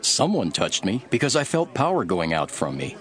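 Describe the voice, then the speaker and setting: A man playing a calm, authoritative religious teacher in a Bible audio drama, measured and earnest. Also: lowish voice, crowd noise. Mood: calm authority.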